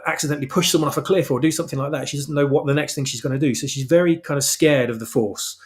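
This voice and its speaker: A man's voice: a little high, racing. A man speaking as a guest author in a casual interview, explaining his work in an emphatic, opinionated way. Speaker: guest author of fan fiction. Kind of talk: casual interview chat about his own story.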